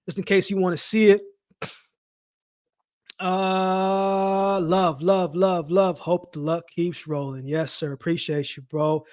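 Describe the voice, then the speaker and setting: High-pitched man chatting casually on a livestream. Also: fairly even intonation, slow pace, a little mellow, dry recording, clean studio sound. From Jamaica-born, raised in Canada. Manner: slangy, repeats phrases for emphasis. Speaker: livestream host. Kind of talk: casual live chat with viewers, boxing commentary.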